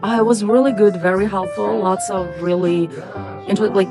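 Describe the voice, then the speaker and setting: A woman's voice: mid-range voice, fairly even intonation, normal speed. A woman giving a casual, hesitant testimonial as a workshop attendee in informal, filler-heavy speech. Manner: hesitant, informal, uses like often. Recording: loud music over the voice.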